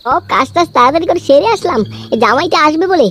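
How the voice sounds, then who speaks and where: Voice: high voice, expressive, fast, fairly bright tone, slight background noise. A performer voicing a frustrated, foul-mouthed young wife in a comedy cartoon, nagging and complaining in a female voice. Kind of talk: amateur comedy cartoon voice acting.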